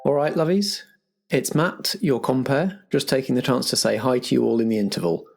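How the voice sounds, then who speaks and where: A man's voice: medium pitch, moderate pace, vocal fry, a little mellow. A man, a game master and compere speaking in a chatty, informal hosting style.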